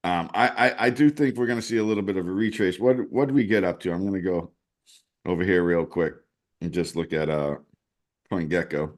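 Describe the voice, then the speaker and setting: A man's voice: deep voice, brisk. A man co-hosting a casual show, talking through charts and thinking aloud with many restarts. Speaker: co-host, chart analyst. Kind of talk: casual crypto chat over screen-shared charts.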